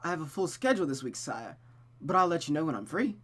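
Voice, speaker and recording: A reader voicing a proud young noblewoman musician, sharp-tongued and formally polite, in a visual novel read aloud. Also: medium pitch, sing-song, fast. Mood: cool, curt.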